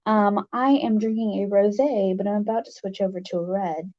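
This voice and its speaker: A livestream host with a raspy voice, chatting casually and haltingly with viewers, with many fillers. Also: mid-range voice, fairly even intonation, lo-fi sound, background noise.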